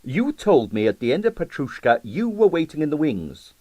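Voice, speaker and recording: A man voicing a shrewd, smooth-talking police detective in an audiobook, questioning cordially and with gentle insistence. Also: fairly high pitch, big pitch swings, moderate pace, dry recording, highs cut off, pitched above the performer's own voice. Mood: pointed.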